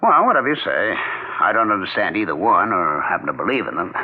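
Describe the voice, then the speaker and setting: Skeptical, irritable husband, low husky voice, scoffing, 1970s radio drama, muffled vintage sound. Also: male voice, sing-song, fast talker, heavily acted. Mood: irritated, skeptical. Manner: dismissive, blunt, swinging from smooth politeness to scoffing. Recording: muffled, narrow-band 1970s radio recording.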